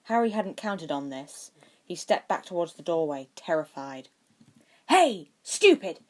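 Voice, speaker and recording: Woman narrating an audiobook, lowish voice, reading a story from a script. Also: animated, moderate pace, darkish tone.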